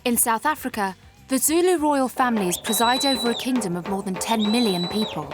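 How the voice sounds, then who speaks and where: Higher-pitched woman narrating over music. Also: expressive, brisk. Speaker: documentary narrator. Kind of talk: scripted narration. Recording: music behind the voice.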